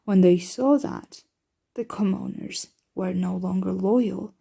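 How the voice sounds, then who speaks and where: A woman playing a protective, doting yet anxious vampire mistress in an ASMR roleplay, breathy and often whispering, with formal, measured diction. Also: medium pitch, dark tone, full character voice. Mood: frustrated, weary.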